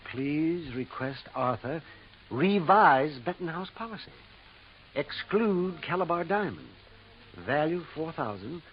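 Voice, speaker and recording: An actor playing an awkward, hesitant, apologetic insurance man in a 1950s radio drama, speaking in halting stammers. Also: on the high side. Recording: hissy, muffled vintage radio recording.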